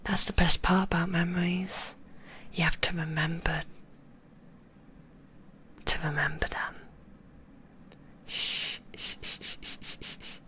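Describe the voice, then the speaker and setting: A woman voicing a possessive, sweetly manipulative female magician, whispering in a soft, cooing and softly condescending way. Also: lowish voice, steady tone, unhurried, long pauses, a little hiss or hum. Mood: doting. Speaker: ASMR roleplay performer. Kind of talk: whispered ASMR roleplay.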